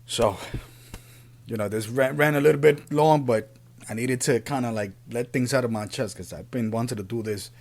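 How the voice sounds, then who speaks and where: A man, born in Puerto Rico and raised in the Bronx, giving an earnest, unscripted opinion monologue as a podcast host, often halting and repeating himself. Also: mid-range voice, gravelly, darkish tone. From Puerto Rico, raised in the Bronx, New York City.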